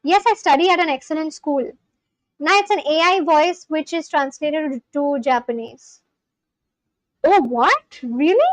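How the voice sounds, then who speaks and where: A young woman, a native Bengali speaker, talks casually into a close microphone with a high, light voice. She gasps and exclaims with excitement as she reads out comments. The sound is dry, with no room echo. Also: measured pace. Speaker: live streamer learning Spanish. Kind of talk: casual live-stream chat reading comments.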